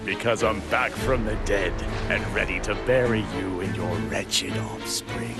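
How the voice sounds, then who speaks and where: A man's voice: fairly low pitch, fairly even intonation, measured pace. A man playing an arrogant, sadistic bio-android monster in an anime game dub, sneering and theatrical. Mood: mocking, menacing. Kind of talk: English anime game villain acting. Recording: very loud music and effects behind.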